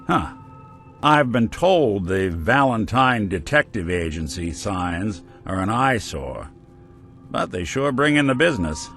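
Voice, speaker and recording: Low-voiced, wry American robot detective. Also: male voice, unhurried, soft background music, full character voice. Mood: wry, easygoing. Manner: wry, deadpan, world-weary wisecracks. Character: weary wry robot private detective, middle-aged male, good-hearted. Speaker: companion character in a video game. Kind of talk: video game voice acting, noir detective.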